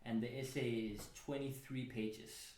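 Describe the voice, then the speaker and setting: A man's voice: mid-range voice, steady tone, unhurried, a little mellow, echoey room. A man hosting a casual, thoughtful book club conversation in a home studio, talking reflectively with many fillers. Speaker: host.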